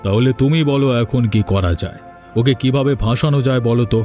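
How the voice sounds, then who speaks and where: A man's voice: mid-range voice, fast, warm tone, natural voice. Older village uncle, played by a man, cunning, spiteful, conspiratorial, cartoon drama, music underneath. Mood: scheming. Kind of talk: Bengali cartoon voice acting.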